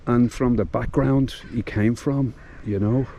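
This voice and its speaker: A man's voice: mid-range voice, fairly even intonation, unhurried, dark tone, a little hiss or hum. A man with a Dublin accent, talking casually and from the heart as he vlogs outdoors, with lots of fillers and restarts. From Ireland. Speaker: vlogger. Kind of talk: casual vlog commentary.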